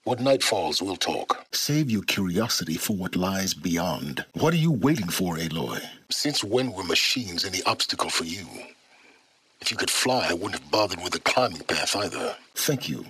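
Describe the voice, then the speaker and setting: A man's voice: sits low, sing-song, normal speed, bright, forceful tone, husky, heavily acted. An actor playing a secretive, learned middle-aged man in a video game, imperious and condescending, with formal, precise diction. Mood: curt, instructing. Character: secretive, learned older male wanderer, imperious and impatient. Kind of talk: video game character dialogue.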